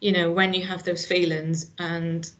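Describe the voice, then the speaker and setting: A woman's voice: lowish voice, little pitch movement, measured pace, dull audio. A middle-aged woman from Northern Ireland with a Northern Irish accent, talking earnestly in a casual conversation, with lots of restarts. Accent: Northern Irish, slight Scottish. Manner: earnest, frequent "you know" and restarts. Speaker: hospital staff guest.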